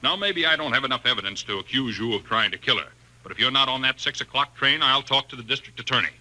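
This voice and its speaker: An actor playing a stern, authoritative doctor in a 1940s radio thriller, speaking in a firm, deliberate manner. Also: male voice, medium pitch, animated, sharp tone. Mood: stern, accusing. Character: stern, authoritative male doctor, measured and protective. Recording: noisy vintage 1940s radio recording.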